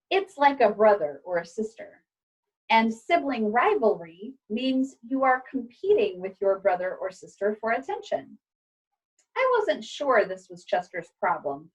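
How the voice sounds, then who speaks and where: Husky, higher-pitched woman reading a story aloud. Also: rough recording. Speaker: teacher reading aloud to a class.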